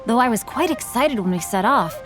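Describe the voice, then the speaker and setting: Well-bred bookish youth, raised pitch, polite, formal wording, game dialogue with music behind. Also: female voice, animated, fairly quick, fairly bright tone. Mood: composed, genial. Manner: refined, formal, courteous. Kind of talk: video game voice acting.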